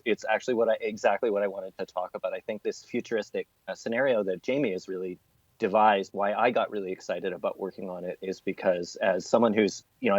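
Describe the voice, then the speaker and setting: A man being interviewed as a theatre artist, talking in an earnest, discursive way with emphatic repetition. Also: on the low side. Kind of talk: thoughtful interview talk. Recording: dull, roomy, lo-fi sound.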